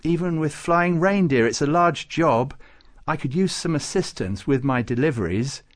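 A man's voice: on the high side, expressive, moderate pace, voice pushed up, close to an everyday voice. A man voicing a weary but dutiful old Christmas toymaker in a storybook, frank in manner. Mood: practical. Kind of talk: storybook character voice.

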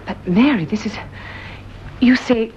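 An actress playing an excitable, superstitious friend who gushes and frets, in a lo-fi old radio drama. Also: female voice, fairly high pitch, a little slow, slight background noise, lightly acted. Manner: gushing, fretful, emphatic. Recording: dull lo-fi old radio recording.

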